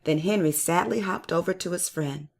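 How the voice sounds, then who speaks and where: Woman reading a kids story out loud, lowish creaky voice, really expressive. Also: normal speed. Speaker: storyteller and channel host. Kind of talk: children's read-aloud narration.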